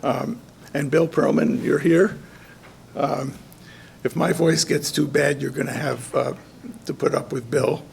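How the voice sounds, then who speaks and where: A hoarse man organizing a contest gives halting welcome remarks at a ceremony, with many ums and restarts. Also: mid-range voice, fairly even intonation, a little mellow, a little hiss or hum. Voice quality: gravelly. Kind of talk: informal ceremony speech. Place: community event hall.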